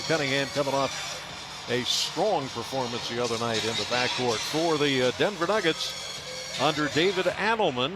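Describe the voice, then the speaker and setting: A man with an American accent doing live pregame commentary as a radio play-by-play announcer. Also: medium pitch.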